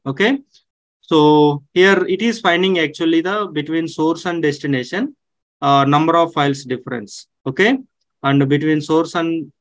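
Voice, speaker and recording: Man giving a step-by-step software tutorial. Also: on the high side, dry recording. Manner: step-by-step, repeats himself, many "okay" and "so" fillers. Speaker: online tech instructor. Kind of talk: screen-share tutorial walkthrough. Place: indoors at a desk.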